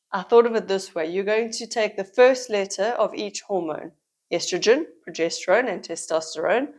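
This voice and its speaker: A middle-aged woman explains something to the camera, her voice a little croaky from a head cold. She leans on key words and repeats them for emphasis, in a warm, steady teaching manner. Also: mid-range voice. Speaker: women's health educator.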